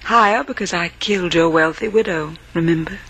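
An actress playing a sardonic, mercenary femme fatale in an old radio crime drama, with an arch delivery. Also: female voice, on the low side, fairly quick, fairly bright tone, slightly rough, low-quality recording. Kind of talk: 1940s-50s radio crime drama acting. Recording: old radio recording, faint noise.

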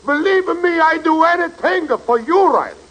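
An actor playing an excitable, big-hearted Italian immigrant food seller with a raspy voice and broken English, in old radio comedy style. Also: male voice, very high-pitched, moderate pace. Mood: pleading, effusive. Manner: exclamatory, broken English, effusive. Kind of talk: 1940s-50s radio comedy acting.

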